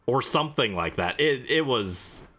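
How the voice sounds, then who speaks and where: A man livestreaming a video game, giving casual commentary and halting explanations as he reacts aloud. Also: mid-range voice, lively intonation, pushed and bright, faint music behind.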